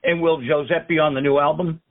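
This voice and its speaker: A man hosting a radio show, interviewing a guest with slow, affirming questions. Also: a little high, fairly even intonation. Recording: phone-like line.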